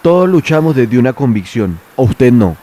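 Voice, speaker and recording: A calm, probing young priest. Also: male voice, mid-range voice. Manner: calm, measured questions. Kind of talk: radio drama acting.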